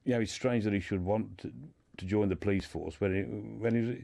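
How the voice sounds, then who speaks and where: A man speaking as a guest in a radio discussion, hesitant and halting, often repeating his words as he explains. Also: lowish voice, fairly even intonation, gravelly, dark tone, no echo. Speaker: guest discussing a writer's life and books.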